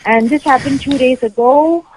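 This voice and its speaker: A woman's voice: high-pitched, normal speed, a little mellow, slight background noise. A woman on a phone call, questioning the callers firmly with hesitant, broken phrasing. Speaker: mother receiving a phone call. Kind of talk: phone conversation.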